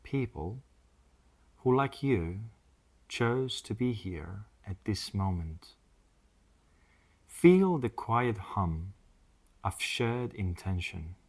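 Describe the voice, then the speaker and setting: Very slow, low-voiced man guiding meditation. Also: sing-song, darkish tone. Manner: soothing, measured, with long pauses between short phrases. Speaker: meditation guide. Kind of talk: guided meditation, scripted.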